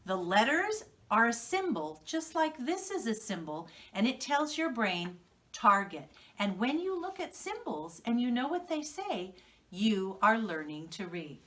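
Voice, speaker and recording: A woman's voice: on the high side, very animated, unhurried, fairly bright tone, far from the mic. A woman with an American accent teaching young children to read, telling a story and prompting them with questions. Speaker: preschool teacher.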